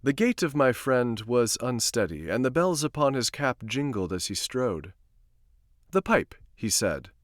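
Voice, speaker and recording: A man narrates a gothic horror story as a streamer would, with a very lively, swinging pitch. His delivery is expressive and theatrical, with the odd stumble and reread. The sound is dry and close. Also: normal speed, hardly any pauses, no echo. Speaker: streamer reading stories aloud. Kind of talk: dramatic audiobook-style reading of a gothic horror story.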